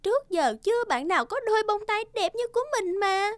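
Sweet little cartoon daughter, very high-pitched, sentimental, light music behind. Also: female voice, animated, brisk, no echo, studio quality. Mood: delighted. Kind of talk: children's cartoon dialogue.

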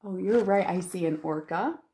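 A woman in her thirties, a children's librarian, reads a picture book aloud and chats to young listeners with expressive wonder and drawn-out emphasis. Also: lowish voice. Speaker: children's librarian hosting storytime. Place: living room at home, indoors.

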